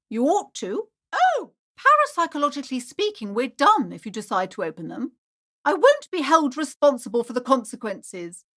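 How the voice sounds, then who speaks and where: A woman's voice: very high-pitched, a bit bright, exaggerated voice. A woman playing a prim, anxious, superstitious bookshop keeper in a video game, with a fussy, formal, theatrical manner. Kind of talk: acted video game dialogue.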